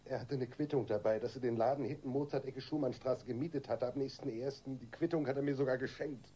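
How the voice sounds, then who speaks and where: An actor playing a guilt-ridden, weak-willed husband in a radio crime drama, halting and defensive. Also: male voice, mid-range voice, fairly even intonation, dark tone, a little hiss or hum, lightly acted. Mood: bitter, defensive.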